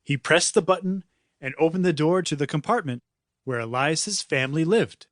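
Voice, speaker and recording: A man's voice: on the high side, moderate pace, dry recording, clean studio sound. A man reading a children's audiobook in a dramatic, playful storytelling manner. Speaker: author reading his own audiobook. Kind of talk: scripted children's story narration.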